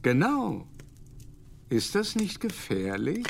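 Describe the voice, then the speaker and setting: An actor playing a learned English Jesuit doctor in a radio play, lecturing grandly and groping for German words. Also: male voice, on the high side, wildly swooping pitch, slow, vocal fry, a little hiss or hum, full character voice. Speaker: Jesuit scholar.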